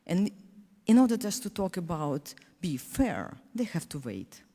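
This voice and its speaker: A woman from Donetsk with a Ukrainian accent, a native Russian speaker, speaking as a panelist in earnest, halting English full of restarts. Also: lowish voice, very animated, warm tone, big-room sound. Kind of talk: panel discussion speech.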